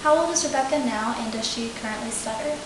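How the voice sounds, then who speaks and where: A young woman acting as a student clinician, asking intake questions in a measured way with frequent "okay"s. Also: a little high. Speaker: student clinician interviewing a client. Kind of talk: scripted clinical interview. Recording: echoey room with steady hiss.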